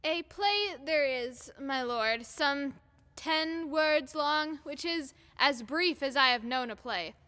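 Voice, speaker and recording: A woman playing a deferential, wry master of revels at court, speaking formally and deliberately in a Shakespearean audio drama. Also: high-pitched, lively intonation, sharp tone, heavily acted. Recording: long reverberant hall echo.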